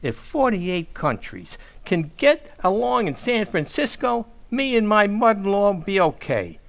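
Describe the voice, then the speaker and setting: Man acting a blustering dad, swooping pitch. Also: big pitch swings, measured pace, vocal fry, fairly bright tone, full character voice. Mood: casual, defensive. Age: middle-aged. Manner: folksy ungrammatical speech, emphatic comic stammering. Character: blustering, indignant working-class family man and father. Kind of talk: old-time radio comedy acting.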